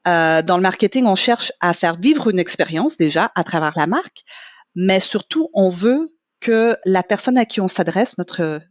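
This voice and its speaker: A middle-aged woman whose first language is English, a podcast guest explaining her work in a measured way with many pauses. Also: lowish voice, expressive, no echo. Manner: measured, with frequent "euh" pauses. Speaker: guest, training marketing manager. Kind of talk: podcast interview, explaining at length.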